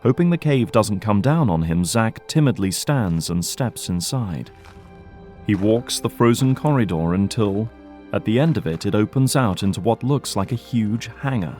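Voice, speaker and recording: Male narrator for scripted audio drama, slightly deep register, faint music underneath. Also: animated, moderate pace, darkish tone.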